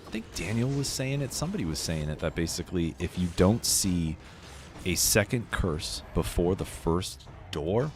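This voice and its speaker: A man casually commentating his game on a live stream, a little hoarse and sniffly from a cold, laid-back and chatty. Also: rumbling low, a little mellow. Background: faint game music.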